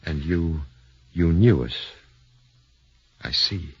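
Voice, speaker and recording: An actor playing an earnest, flustered defense lawyer who speaks hesitantly, in a 1940s radio drama. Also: male voice, low voice, animated, takes it slowly, slightly rough, no room sound, full character voice. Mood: shocked. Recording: dull lo-fi 1940s radio broadcast.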